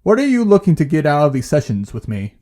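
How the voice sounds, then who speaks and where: A man playing a calm, patient therapist in an audio drama, asking questions in a measured, gentle way. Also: a little high. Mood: gentle, professional. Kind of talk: naturalistic audio drama dialogue.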